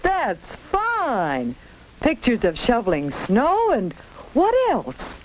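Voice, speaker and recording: A woman's voice: fairly high pitch, wildly swooping pitch, slow, lo-fi sound, full character voice. A woman voicing a cheerful, kindly father in a wholesome 1940s educational film story. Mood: pleased. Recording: band-limited 1940s film soundtrack, background noise.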